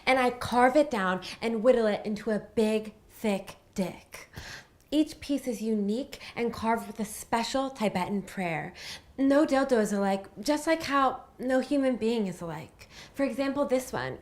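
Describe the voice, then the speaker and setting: A woman playing an earnest, spiritual hippie craft seller, giving deadpan sales patter in a comedy sketch. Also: fairly high pitch, natural voice. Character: earnest, spiritual hippie craftswoman selling handmade wooden toys.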